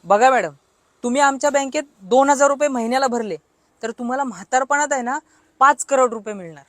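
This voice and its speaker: A woman's voice: high voice, brisk, no room sound, close to an everyday voice. A woman voicing a talkative, easily annoyed bank employee with a colloquial, explanatory manner in a comedy cartoon. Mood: persuasive. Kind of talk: comic cartoon dialogue.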